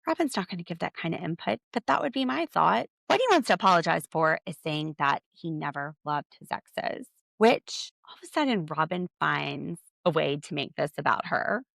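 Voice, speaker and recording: A woman's voice: deep voice, animated, moderate pace, gravelly, few pauses, fairly bright tone, dry recording. A woman hosting a solo podcast, recapping a reality TV episode with sarcastic, exasperated commentary. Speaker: podcast host.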